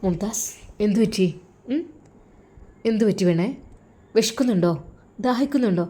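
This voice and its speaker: A woman doing a soft-spoken gentle husband. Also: expressive, normal speed, natural voice. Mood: gentle coaxing. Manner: soft, low, coaxing.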